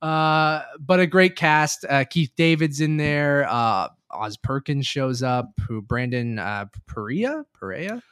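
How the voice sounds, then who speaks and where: A man's voice: on the high side, expressive, slow, few pauses, a bit bright, smooth, no room sound. Casual male podcast host, close dry sound. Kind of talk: casual movie review podcast chat.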